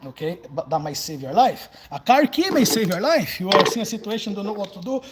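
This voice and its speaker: A Brazilian-accented man in his forties talks casually to his viewers, swinging from old stories to answering their comments. His voice sits high for a man. He is picked up by a phone lying on the floor of a wide warehouse, with faint background noise. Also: a little mellow, poor audio. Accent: Brazilian Portuguese. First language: Portuguese. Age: 40s. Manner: storytelling, chatty, reacts to viewer comments. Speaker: vlogger. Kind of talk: casual livestream chat. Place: large open warehouse, indoors. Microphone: phone camera set on the floor.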